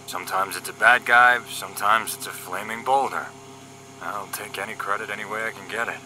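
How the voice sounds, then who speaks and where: A man acts out a wisecracking young male agent in an audio drama. His voice sits fairly low but has a very sharp, forceful edge, and he banters flippantly over a faint hum. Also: fairly even intonation, a little fast, poor audio. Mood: grumbling. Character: wisecracking, cocky young male paramilitary agent, teasing optimist. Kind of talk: scripted audio drama dialogue.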